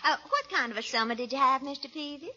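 A woman's voice: high-pitched, animated, quick pace. A woman playing a coquettish, sweet-talking lady in a 1940s radio comedy, flirtatious and gushing. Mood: inquisitive. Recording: dull, hissy vintage radio recording.

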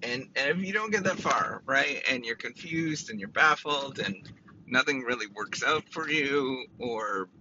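A man doing his PhD, giving casual motivational advice with a raspy, tickly throat, rambling with many restarts and fillers. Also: medium pitch, expressive, a little slow, lo-fi sound, background noise. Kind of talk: casual motivational talk to camera.

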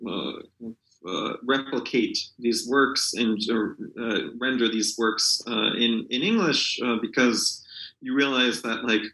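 A man with a mid-range voice talks in a halting, thoughtful way, full of ums and restarts. He answers interview questions as a literary translator, and his voice carries a noticeable room echo. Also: medium pitch, pushed and bright. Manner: hesitant, many fillers and restarts.

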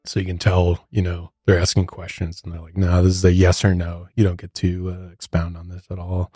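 Deep-voiced male podcast host. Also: monotone, brisk, dark tone, breathy edge, no echo, clean studio sound. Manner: casual, explains clips to co-host. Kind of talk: true crime podcast narration.

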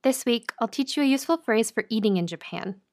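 A woman hosting a podcast, talking clearly and breaking phrases down slowly like a teacher. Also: fairly high pitch, lively intonation, darkish tone. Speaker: podcast host. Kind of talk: scripted podcast intro and interview.

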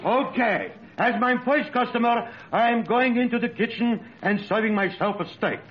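An actor playing a pompous, theatrical music maestro turned waiter in 1940s radio comedy. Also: male voice, very high voice, moderate pace. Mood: grandly eager. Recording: muffled old radio broadcast.

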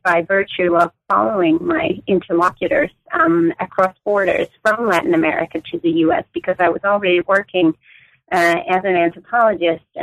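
A woman in her forties, raised in Denmark, speaking as an academic guest in an interview, choosing her words with many pauses and restarts. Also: on the low side, vocal fry, narrow, tinny audio. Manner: thoughtful, many fillers and restarts. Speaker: guest author, anthropologist.

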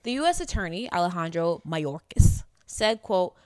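A woman's voice: fairly low pitch, sing-song, moderate pace, a little mellow, no room sound. A woman in her late twenties co-hosting a true-crime podcast in casual, candid, slangy talk with some swearing. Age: late 20s. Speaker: podcast co-host.

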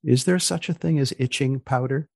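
A man hosting a research podcast in a conversational way, with deliberate, dry-humored phrasing. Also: mid-range voice, creaky, no room sound. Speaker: podcast host. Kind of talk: conversational interview about research.

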